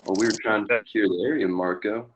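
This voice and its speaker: A deep-voiced outlaw leader and ex-cavalry captain from a western, read by a man at a table read. He taunts deliberately and with menace. Faint noise sits behind the voice. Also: steady tone, close to an everyday voice. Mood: taunting, cold.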